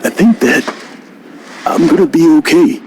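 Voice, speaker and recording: A man's voice: fairly high pitch, measured pace, background noise, close to an everyday voice. A man playing an earnest, shaken game character. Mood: subdued, relieved. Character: shaken adult man calming himself, earnest. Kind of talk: video game voice acting. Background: music.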